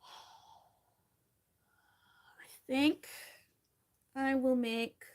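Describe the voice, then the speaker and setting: A woman's voice: high-pitched, flat delivery, extremely slow, a little mellow. A woman in her thirties from Pennsylvania, chatting casually and rambling with wry asides while she crochets on a livestream.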